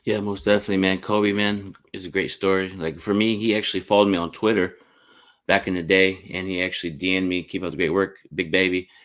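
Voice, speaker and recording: A man with a very low-pitched voice hosts a sports podcast. He chats informally with his guest in loose, everyday phrasing. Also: flat delivery, fairly quick, a bit bright. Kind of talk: casual interview chat.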